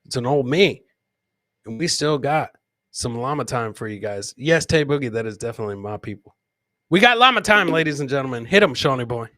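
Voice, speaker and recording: A man hosting a comedy podcast, bantering casually in a rowdy, rambling way with shouted bursts. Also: medium pitch, animated, a little fast, dry recording, clean studio sound. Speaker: host of a live comedy podcast. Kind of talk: boisterous casual live banter with listeners.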